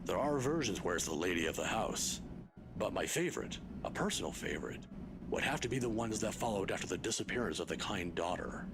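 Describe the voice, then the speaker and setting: A man's voice: sits low, expressive, fairly quick, noisy background. An actor playing a kindly, patient old male professor in a video game, scholarly, pedantic and given to chuckling. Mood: enthusiastic storytelling. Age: older.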